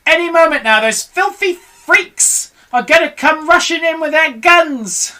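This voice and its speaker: A reader voicing an excitable, bossy monkey father who yells orders in a high, bright voice. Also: a little slow, exaggerated voice. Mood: frantic. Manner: shouting orders, frantic. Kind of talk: storybook read-aloud.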